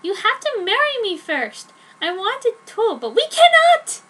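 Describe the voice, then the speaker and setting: Woman acting out a shrill, overwrought teenage girl heroine. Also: very animated, unhurried, fairly bright tone, full character voice. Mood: panicked, indignant. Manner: gushing, exclaiming, overwrought. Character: melodramatic lovesick pious teenage girl heroine. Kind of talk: mock-dramatic fan fiction reading.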